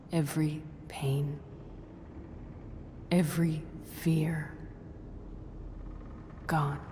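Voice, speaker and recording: A woman's voice: deep voice, dark tone, long reverb tail, a little hiss or hum. A middle-aged woman playing a weary, guilt-ridden estranged mother, somber, terse and stubborn, in a dramatic video game cutscene. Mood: wistful, weary.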